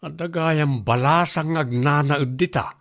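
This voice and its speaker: A man playing a simple, excitable middle-aged bachelor in an Ilocano radio comedy drama, with an Ilocano accent. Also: a little high, measured pace. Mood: bashful, excited.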